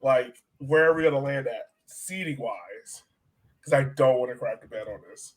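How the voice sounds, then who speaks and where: A man co-hosting a soccer fan podcast, talking in a casual, excitable way. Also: mid-range voice, moderate pace. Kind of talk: casual sports podcast chat.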